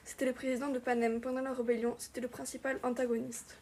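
A woman's voice: high-pitched, level tone, fast, darkish tone, a little hiss or hum. A woman playing a young archer heroine, answering earnestly and plainly.

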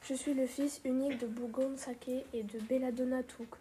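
A woman playing a fifty-year-old male hobbit who loves his tea, answering plainly and calmly in an amateur role-play interview. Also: high-pitched, moderate pace, slight background noise, lightly acted.

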